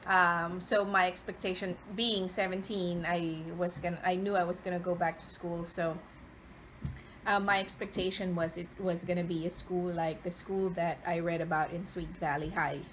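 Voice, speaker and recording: A woman, answering interview questions conversationally and pausing often mid-phrase. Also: lowish voice, steady tone, fairly bright tone, slight background noise, rough recording. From Philippines, Cavite province. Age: 30s.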